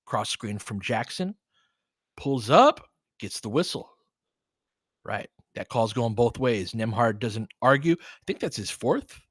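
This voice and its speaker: A man's voice: medium pitch, animated, slow pace, fairly bright tone, breathy edge, no room sound, studio quality. A man doing casual live basketball play-by-play as a stream host, speaking conversationally in short, clipped phrases. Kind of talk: casual live basketball commentary with fan chat.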